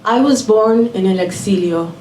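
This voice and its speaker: A woman raised in Miami telling a personal story live at a small venue, unhurried and measured, dropping in Spanish words. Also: mid-range voice, darkish tone, a little hiss or hum. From born in Puerto Rico, raised in Miami. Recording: roomy venue audio from a distant mic.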